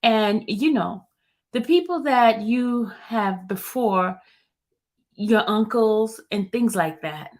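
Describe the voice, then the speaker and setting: A woman reading out a male gang witness's testimony in a lowered voice, answering in quiet, halting phrases. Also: natural voice. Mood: recalling.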